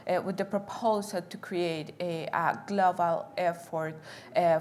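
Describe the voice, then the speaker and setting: Hesitant Colombian woman, lowish voice. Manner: hesitant, searching for words, many uhs. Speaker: grassroots movement leader. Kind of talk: panel self-introduction.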